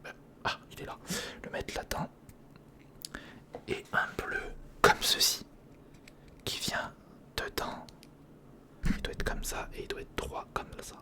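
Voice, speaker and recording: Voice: unhurried, pauses often. An ASMR host whispering breathily and close to the mic, thinking aloud to the listener through a step-by-step build. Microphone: close recorder or studio condenser microphone.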